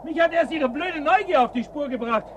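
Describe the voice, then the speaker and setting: Suspicious scavenger, unusually high male voice, curt and mocking, radio drama with loud outdoor noise. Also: a little fast. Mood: suspicious, defiant. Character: cynical, suspicious male looter in a frozen ruined town. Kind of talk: German radio drama acting.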